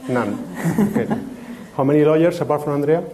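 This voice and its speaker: Man, dark joking voice. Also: a little high, a little fast, rough recording. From Spain. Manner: joking, repeats words, tag "no?". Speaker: IT director, panelist. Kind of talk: conference panel answer.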